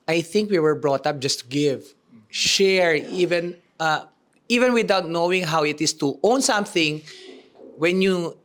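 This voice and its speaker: A man with a somewhat high voice puts a question to a coach in a group session. He speaks haltingly. He restarts often as he searches for the right words. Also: a bit bright. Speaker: workshop participant asking a question.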